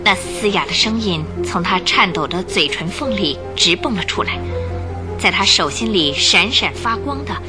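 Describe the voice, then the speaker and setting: Expressive woman narrating a radio drama. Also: fairly low pitch, normal speed. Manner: vivid, expressive storytelling. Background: background music.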